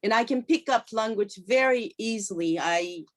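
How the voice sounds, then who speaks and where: A woman's voice: fairly high pitch, normal speed. A middle-aged woman from Japan with a Japanese accent, a native Japanese speaker, chatting enthusiastically as an interview guest with hesitant phrasing. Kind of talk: casual conversational interview.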